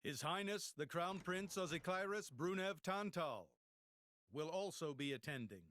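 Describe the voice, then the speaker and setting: A courtly religious statesman in a game cutscene. His voice is fairly high and lively in pitch, formal and ceremonious, recorded dry. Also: measured pace, no room sound. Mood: formal, gracious. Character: courtly, smooth, dignified male high priest and statesman. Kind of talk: dramatic game cutscene dialogue.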